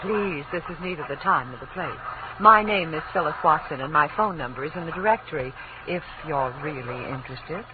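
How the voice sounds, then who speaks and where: Coy young secretary on old noisy radio. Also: female voice, deep voice, lively intonation, a little fast, phone-quality sound. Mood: coy, teasing. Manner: coy, teasing, poised. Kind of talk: 1940s radio drama acting.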